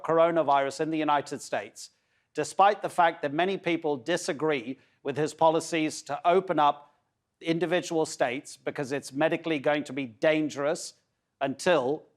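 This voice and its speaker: A man working as a news anchor, asking long, measured questions in a formal interview. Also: a little high.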